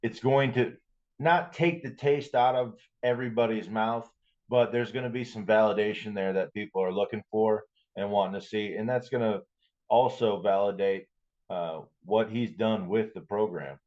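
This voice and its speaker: A man with a fairly low voice chats casually about football as a podcast analyst. His audio is a little dull and muffled. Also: steady tone, moderate pace, a little mellow. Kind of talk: casual sports chat.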